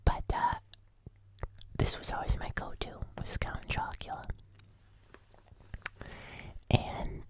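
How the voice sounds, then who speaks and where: An ASMR host speaking in a breathy, unvoiced whisper, chatting casually as if reviewing food for viewers. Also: takes it slowly, pauses often.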